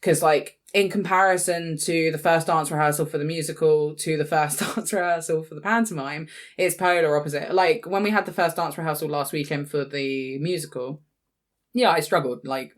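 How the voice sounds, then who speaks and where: A woman's voice: low voice, steady tone, normal speed. A British woman in her late twenties chatting casually as a podcast co-host, in a chatty, informal way. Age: late 20s. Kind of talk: casual chat.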